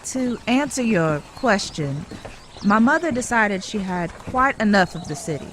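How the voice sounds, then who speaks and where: A woman acting in an audio drama as a genteel young city woman out West, speaking politely and formally with an American accent and a gently teasing manner. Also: medium pitch, expressive, measured pace, a little hiss or hum. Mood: frank, composed. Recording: clear background ambience with horse hoof sounds.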